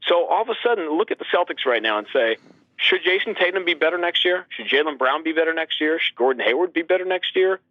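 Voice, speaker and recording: A man working as a sports announcer, talking basketball as an animated, emphatic guest who leans on rhetorical questions, over a dull radio-like line. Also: a little high, fast talker, no room sound.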